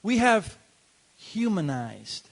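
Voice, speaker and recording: A man from Cuba preaching in English through an interpreter, emphatic and building to loud peaks. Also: high-pitched, very animated, drawn-out words, vocal fry. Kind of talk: bilingual sermon with interpreter.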